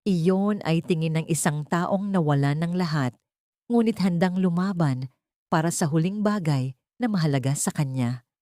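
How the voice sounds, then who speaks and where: A woman narrator plays a mysterious, wealthy gentleman in an audio romance. The delivery is grave, measured and protective, on the low side for a female voice. The sound is dry and close. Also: dry recording, close to an everyday voice. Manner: measured, solemn, formal.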